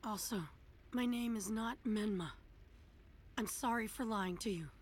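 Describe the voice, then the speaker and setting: A woman playing a brash, stubborn teenage boy ninja hero with a raspy voice in an English anime dub. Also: on the high side, a little slow, a little hiss or hum, natural voice. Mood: somber, subdued. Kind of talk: English-dubbed anime acting.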